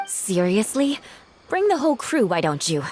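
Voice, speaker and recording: A woman's voice: mid-range voice, big pitch swings, moderate pace, darkish tone, a little hiss or hum, close to an everyday voice. A woman playing a proud, cool, dutiful noblewoman knight in a video game, with a formal, haughty manner. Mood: irked, defensive. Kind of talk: video game cutscene acting.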